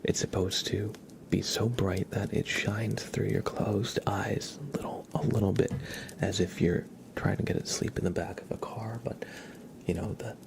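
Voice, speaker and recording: A man hosting an ASMR livestream in a breathy whisper, speaking in a gentle, unhurried, soothing way. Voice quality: breathy, whispered, low creaky voice. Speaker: ASMR live streamer, host.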